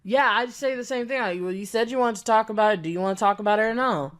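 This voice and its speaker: Slightly high-voiced woman ranting, sarcastic and fed up, picking apart a video. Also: quick pace, slight background noise. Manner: exasperated, sarcastic, argumentative. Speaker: commentary youtuber. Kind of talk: reaction rant over a clip.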